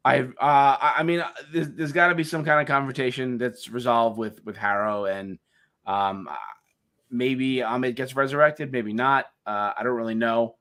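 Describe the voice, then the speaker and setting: Middle-aged man, mid-pitched voice, halting, lots of restarts, co-host. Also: fairly even intonation, fairly bright tone. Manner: halting, many restarts and fillers, laughs easily. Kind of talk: casual chat.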